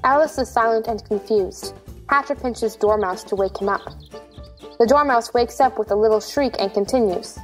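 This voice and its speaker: Female narrator for a dramatized play, slightly high register, scripted delivery. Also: normal speed, soft background music. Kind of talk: scripted narration of stage directions.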